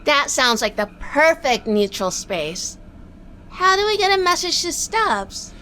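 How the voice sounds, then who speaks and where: A high, bright-voiced female private eye played by an actress in a noir radio play. She is sardonic and bossy, with lively swooping delivery and a hidden soft spot. Faint noise sits behind her. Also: a little slow, heavily acted. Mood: bossy, teasing. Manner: sardonic, scolding. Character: wisecracking female 1940s private eye, bossy, secretly lovestruck. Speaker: lead actor and narrator. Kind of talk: noir audio drama acting with narration.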